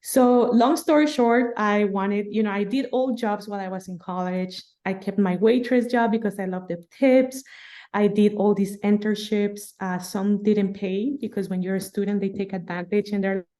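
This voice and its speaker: Lively woman from Guatemala, in her thirties. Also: mid-range voice, normal speed. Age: 30s. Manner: lively, laughing, animated storytelling. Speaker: podcast guest. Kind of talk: casual storytelling interview.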